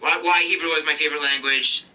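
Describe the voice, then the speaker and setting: Bright-voiced man, distant mic, faint music. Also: a little high, fairly even intonation, fast talker. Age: 30s. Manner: heartfelt, repeats himself as he talks. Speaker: podcast host. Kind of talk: earnest farewell podcast talk.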